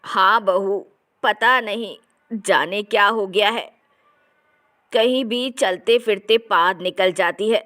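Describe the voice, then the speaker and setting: A woman's voice: mid-range voice, slow pace, fairly bright tone. A woman voicing an older mother-in-law in a family comedy story, chatty, confiding and practical. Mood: sheepish. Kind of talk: acted dialogue in a Hindi family comedy story.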